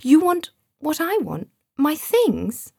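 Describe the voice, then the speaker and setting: A woman's voice: high-pitched, huge pitch swings, takes it slowly, creaky, dark tone, no room sound, voice pushed up, full character voice. Lady doing a young boy, curious, a little nervous, dry close sound. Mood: anxious. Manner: wondering, anxious questions.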